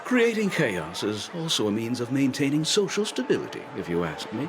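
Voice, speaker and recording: A man's voice: mid-range voice, huge pitch swings, brisk, noisy background. An actor voicing a sly male official who trades rumors in a knowing, wry manner. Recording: game cutscene over loud music.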